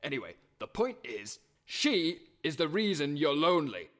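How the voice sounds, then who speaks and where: A man's voice: fairly high pitch, sing-song, moderate pace, fairly bright tone. A man playing a persuasive, articulate hero in a comic puppet musical, earnest and melodramatic in his delivery. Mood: earnest persuading.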